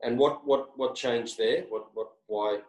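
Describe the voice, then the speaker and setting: A man's voice: medium pitch, a little mellow, a little hiss or hum. Aussie guy asking rambly interview questions, lots of "and, and", echoey room. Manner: halting, repeats words and hedges. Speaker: interviewer, company executive.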